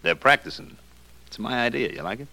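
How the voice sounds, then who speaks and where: A man's voice: mid-range voice, lively intonation, a little fast, bright, forceful tone. An actor playing a cocky, amused gangster loan shark with slangy tough-guy talk and cool menace in an old radio drama. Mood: amused, sly menace. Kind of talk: 1940s radio detective drama acting. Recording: noisy old radio recording.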